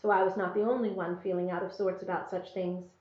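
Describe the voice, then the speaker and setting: Female read-aloud narrator, expressive and measured, first-person fiction, roomy and slightly dull recording. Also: fairly low pitch.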